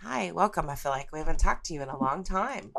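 A woman's voice: low voice, expressive, fast talker, creaky, a bit bright. A woman hosting a podcast, talking through a topic. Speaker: podcast host.

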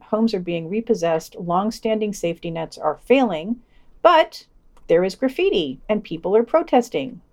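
A woman's voice: mid-range voice, a little mellow. Woman reading scripted news summaries. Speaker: podcast news reader.

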